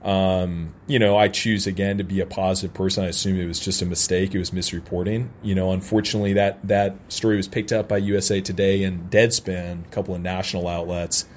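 A man's voice: low-pitched, level tone, fairly quick, hardly any pauses, a little hiss or hum. An American man from St. Louis, a sports announcer, talking candidly and casually as an interview guest. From St. Louis, United States. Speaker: interview guest, soccer radio play-by-play announcer. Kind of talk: casual interview, personal anecdotes. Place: radio booth at a stadium. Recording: clearly audible background ambience.

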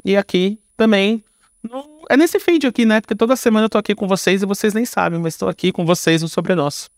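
A man's voice: high voice, a little fast, no echo, studio quality. A Brazilian man chatting casually as a podcast guest, informal and slangy, thinking aloud as he goes. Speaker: podcast guest, filmmaker and screenwriter. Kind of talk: casual chat.

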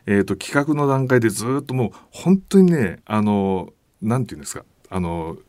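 A man speaking as a podcast guest, explaining things politely and haltingly as he searches for words. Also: lowish voice, lively intonation, a little mellow, dry recording, clean studio sound. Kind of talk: conversational explanation.

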